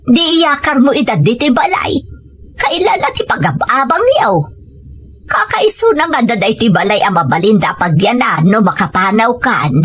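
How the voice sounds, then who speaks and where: A woman's voice: a little high, lively intonation, moderate pace, a bit bright, husky. A woman with an Ilocano Filipino accent playing a supportive, practical older mother in an audio drama. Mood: reassuring, practical. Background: background music.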